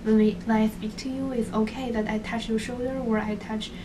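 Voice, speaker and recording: A woman's voice: on the high side, flat delivery, a little fast. A young woman from Beijing, a native Chinese speaker who chooses her English words carefully as she answers interview questions. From Beijing, China. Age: young adult. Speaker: interviewee, finance student. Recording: distant mic with clear background noise.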